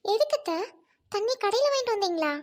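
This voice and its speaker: A woman voicing a humble young bride who speaks softly and politely to her new family. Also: extremely high pitch, expressive, fast. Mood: soft, pleading. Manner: soft, polite, keeps addressing mother-in-law.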